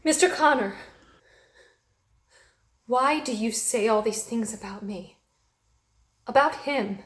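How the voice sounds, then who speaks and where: A woman's voice: fairly high pitch, slow, a bit bright. An actress playing a prim, loyal young lady who defends the doctor she loves, with formal, proper diction in a theatrical table read. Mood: troubled.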